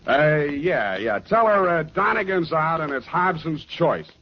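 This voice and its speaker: An actor in a 1940s radio drama playing a hard-boiled private detective, dry, sardonic and clipped. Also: male voice, a little high, lively intonation, measured pace. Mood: brusque, sardonic. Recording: dull old radio recording, music behind.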